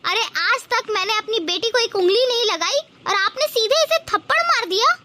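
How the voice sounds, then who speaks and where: Woman doing cartoon mom in a moral story, crazy high squeaky pitch, dramatic, protective, reproachful. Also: expressive, moderate pace, heavy background noise. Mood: outraged. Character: protective, indignant mother-in-law and her young married daughter. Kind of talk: animated moral-story dialogue.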